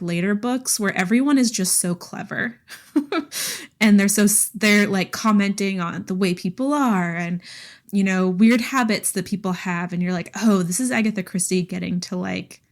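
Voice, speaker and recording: Chatty, enthusiastic woman podcast host. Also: medium pitch. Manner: enthusiastic, frequent "like", laughs while talking. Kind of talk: casual book discussion.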